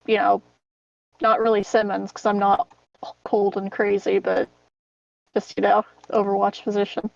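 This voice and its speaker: A woman's voice: medium pitch, little pitch movement, moderate pace, gravelly, long pauses, darkish tone, dry recording. A woman chatting casually as a player in a tabletop game. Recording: distant, slightly dull microphone.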